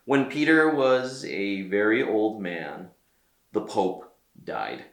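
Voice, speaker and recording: A man reading a children's story aloud as a storyteller, with theatrical delivery and deliberate pauses. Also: lowish voice, big pitch swings, fairly bright tone, reverberant. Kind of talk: expressive read-aloud narration for children.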